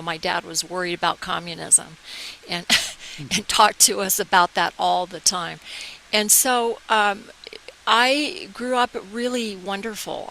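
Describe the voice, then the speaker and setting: Bright-voiced older American woman, lowish pitch. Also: low-quality recording. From United States, military family abroad. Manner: rambling, storytelling. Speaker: interview guest, organization founder. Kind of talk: casual reminiscing interview talk. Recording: clear hiss behind the voice.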